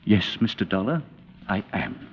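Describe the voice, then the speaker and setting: An actor playing an anguished middle-aged American businessman in a vintage radio drama, pleading and halting, cornered by blackmailers. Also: fairly low pitch, very animated, husky, full character voice. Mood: grave, resigned. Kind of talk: 1940s-50s radio drama acting. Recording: muffled old radio recording with hall reverb.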